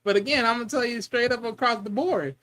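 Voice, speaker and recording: Man, high bright voice, podcast guest, blunt, cussing, mocking. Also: fast. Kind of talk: casual chat.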